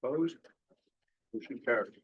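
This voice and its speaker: Guy chairing a board meeting, brisk motions and votes, kinda dull sound. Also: mid-range voice, breathy edge. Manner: brisk, procedural, formulaic motions.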